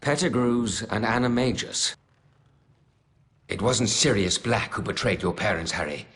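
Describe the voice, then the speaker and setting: A man voicing a calm adult wizard teacher in video game cutscenes, explaining things in a steady, reassuring way. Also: on the low side, unhurried.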